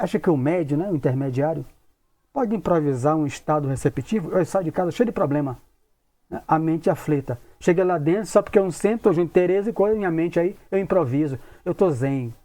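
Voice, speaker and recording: A man's voice: a little high, mellow. A man, leading an informal study talk and acting out imagined questions in a conversational way. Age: 60s. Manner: conversational, acts out imagined questions, repeats tag questions. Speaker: spiritist study group leader and lecturer.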